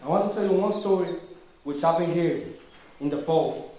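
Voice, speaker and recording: A man's voice: a little high, normal speed, mellow, natural voice. A man playing a kindly old forest king who tells stories in a puppet show. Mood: reminiscing. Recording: very echoey room, distant mic, faint noise.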